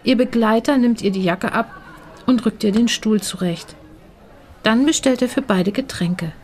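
A woman's voice: fairly high pitch, measured pace, darkish tone, a faint crowd, slight background noise. A woman reading a scripted first-person short story. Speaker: story narrator.

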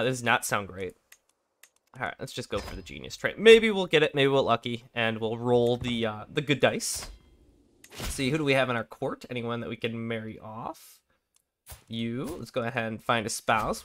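Sick young man, raspy game commentary. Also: medium pitch, a bit bright. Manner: rambling, thinking aloud, frequent throat clearing. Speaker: gameplay commentator. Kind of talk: casual let's play commentary.

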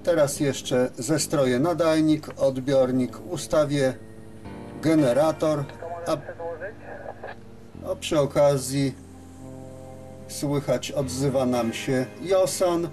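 A man gives an unscripted workshop demonstration as a repair technician, explaining step by step with hesitant pauses. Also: mid-range voice, steady tone, music in the background. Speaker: radio repair technician presenting his work. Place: radio service workshop, indoors at a bench.

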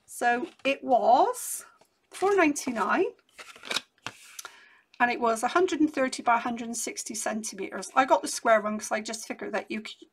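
Hoarse, chatty woman. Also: a little high, a bit bright, a little hiss or hum. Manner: rambling and chatty, many restarts. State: losing her voice, hoarse. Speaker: crafting vlogger. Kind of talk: casual haul chat. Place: home craft desk, indoors.

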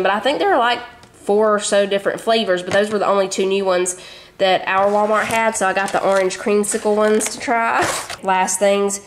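A woman's voice: medium pitch, a little hiss or hum. A woman in her thirties with a Southern American accent, chatting casually through a grocery haul in her home kitchen. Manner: chatty, folksy, addresses viewers as y'all. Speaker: vlogger.

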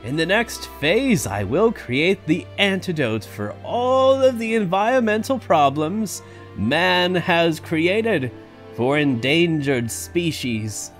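A man's voice: a little high, big pitch swings, slow pace, soft background music. A man voicing an elderly male park founder who is boastful and chuckles as he talks, in an audio drama. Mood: proud. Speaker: voice actor. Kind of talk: fan audio drama acting.

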